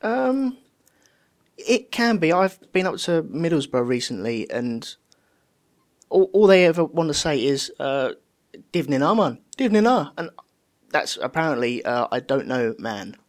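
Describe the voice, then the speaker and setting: A man's voice: fairly high pitch, sing-song, unhurried. A man with a southern English accent, chatting casually and acting out quoted voices in his stories. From England. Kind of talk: casual podcast chat.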